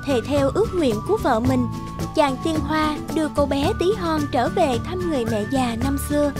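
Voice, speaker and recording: A woman narrating a children's fairy tale in a scripted storytelling style. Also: high voice.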